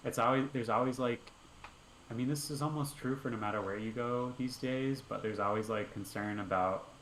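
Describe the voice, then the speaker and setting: A man's voice: medium pitch, moderate pace, fairly bright tone. A man co-hosting a podcast, chatting casually and upbeat with lots of fillers and restarts. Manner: rambling, filler-heavy, upbeat. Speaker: podcast co-host. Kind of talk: casual chat over a call. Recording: echoey room with faint hiss.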